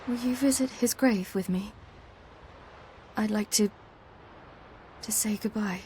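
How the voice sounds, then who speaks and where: A woman's voice: medium pitch, takes it slowly, a little mellow, a little hiss or hum, natural voice. An actress with a British accent playing a determined, proud young warrior woman in a video game, earnest and intense. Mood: subdued, grieving.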